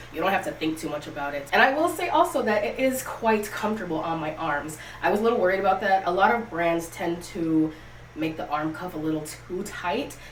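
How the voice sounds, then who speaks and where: A young woman with a stuffy cold hosting a casual clothing try-on vlog, talking candidly and straight to her viewers. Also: fairly low pitch. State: congested from a cold, sniffly. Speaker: fashion vlogger, channel host. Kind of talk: casual try-on haul chat to camera. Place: outdoors, windy. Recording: roomy echo, distant mic, faint ambient noise.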